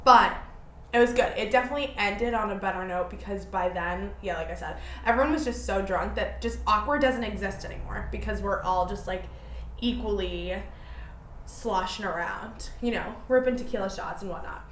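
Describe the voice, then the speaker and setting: A woman's voice: medium pitch, normal speed, fairly bright tone, a little hiss or hum. Twenties woman, solo podcast host; chatty, self-mocking, rambly; echoey room. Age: 20s. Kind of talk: casual solo podcast chat.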